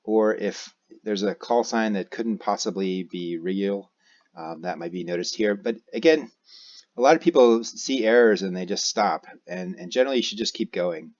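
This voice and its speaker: Low-pitched American man, hesitant tutorial voice. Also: normal speed, darkish tone, lo-fi sound. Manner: hesitant, thinking aloud while typing. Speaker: tutorial presenter, amateur radio operator. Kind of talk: unscripted screencast software walkthrough. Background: keyboard typing and mouse clicks.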